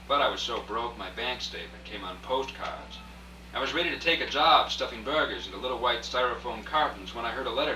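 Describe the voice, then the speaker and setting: A man's voice: on the low side, lightly acted. An actor playing a broke freelance journalist, telling his tale dryly in hard-boiled radio drama narration, recorded at a rehearsal. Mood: deadpan, wry. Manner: dry, wry storytelling. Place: echoey room at a dress rehearsal. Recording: echoey, distant, noisy old video rehearsal recording.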